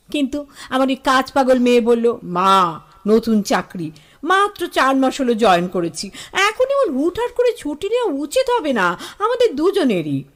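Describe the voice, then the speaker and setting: An actress playing a middle-aged mother, chatty and affectionate on the phone. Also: fairly high pitch. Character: warm middle-aged mother, doting aunt.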